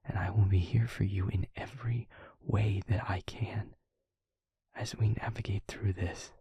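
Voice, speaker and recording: Male actor as devoted vampire boyfriend, very breathy half-whisper, slow halting pace, tender, earnest, protective, intimate audio roleplay. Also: lowish voice, little pitch movement, mellow, no echo, exaggerated voice. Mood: earnest, loving. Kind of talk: intimate audio roleplay, ASMR-like scripted acting.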